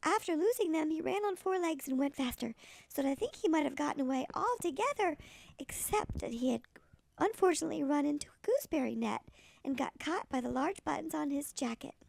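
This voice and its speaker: A woman voicing a tiny old granny who reads a children's storybook expressively in a gravelly voice. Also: sits high, animated, brisk, a little mellow, no room sound. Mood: storytelling. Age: older. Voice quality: creaky, gravelly.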